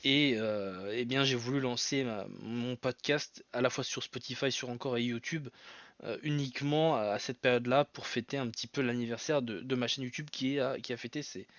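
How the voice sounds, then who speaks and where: A man's voice: medium pitch, steady tone, moderate pace, a bit bright, dry recording, dull audio. A man hosting his own podcast alone, with a Portuguese mother tongue, talking in a hesitant way full of fillers and restarts. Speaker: podcast host. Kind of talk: solo podcast talk.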